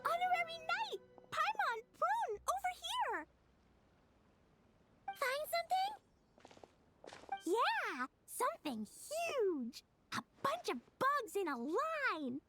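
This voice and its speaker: A woman voicing an excitable, wide-eyed little witch kid in a game. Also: very high-pitched, slow pace, long pauses, loud music behind the voice, exaggerated voice. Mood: excited. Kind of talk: game voice acting.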